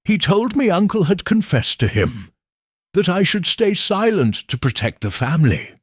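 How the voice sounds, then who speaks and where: Nervous young woman, voiced by a male narrator, halting, raised pitch. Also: sing-song, husky, no room sound, studio quality, exaggerated voice. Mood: shaken.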